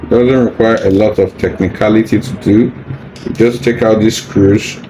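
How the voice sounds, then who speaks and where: A man's voice: on the low side, fairly even intonation, normal speed, mellow, faint music behind, poor audio. A man patiently walking through a hands-on unboxing tutorial, casual and reassuring, repeating his steps as he goes. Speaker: product demonstrator. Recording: faint background noise.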